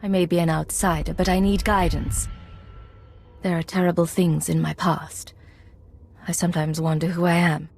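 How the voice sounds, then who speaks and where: A woman playing a guarded, composed intelligence agent in a video game, with a husky voice and a cool, controlled manner. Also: fairly low pitch, flat delivery, normal speed, a little mellow, slight background noise. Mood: earnest. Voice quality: slightly husky. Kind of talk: video game cutscene acting.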